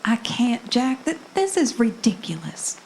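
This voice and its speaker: A woman's voice: a little high, sing-song, unhurried, vocal fry, a little mellow, exaggerated voice. A woman acting an affectionate, easily unnerved young wife in an amateur audio drama, speaking casually and conversationally. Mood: weary, worried. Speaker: actor in an amateur radio drama. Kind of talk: naturalistic audio drama dialogue. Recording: noticeable background noise, amateur recording.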